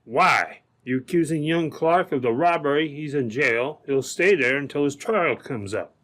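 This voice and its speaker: A man's voice: fairly high pitch, animated, normal speed, fairly bright tone. A man playing a nervous, impatient gold thief in an old-time radio drama, speaking bluntly. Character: jumpy, impatient gold thief eager to flee.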